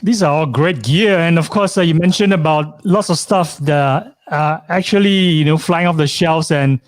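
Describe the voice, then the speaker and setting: Male host, casual chat, question-asking, slightly high voice, dry. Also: moderate pace, no echo. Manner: chatty, asks questions, many uh fillers. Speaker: event host.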